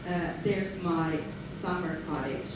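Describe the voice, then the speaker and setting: A middle-aged woman from Finland with a Finnish accent, giving a relaxed, chatty conference lecture with frequent fillers. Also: deep voice, slow. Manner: relaxed, chatty, laughs often, many fillers. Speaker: guest lecturer. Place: large lecture hall. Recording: echoey hall, far from the mic, room noise.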